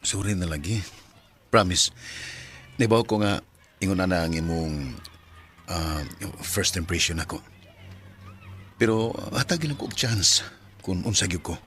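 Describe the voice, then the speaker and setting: Guy doing a cebuano young man saying sorry, lowish soft voice. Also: animated, a little slow, long pauses, slight background noise. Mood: apologetic. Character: cocky young man turned apologetic. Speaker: radio drama actor.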